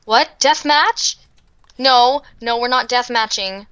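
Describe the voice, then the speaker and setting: A young woman with a bright, sharp voice chats during a game, teasing and giggling as she talks. Her voice sounds worn out, but it is still pitched a little high for a woman. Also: slow, clean tone, muffled recording. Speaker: guest player. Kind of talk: casual chat.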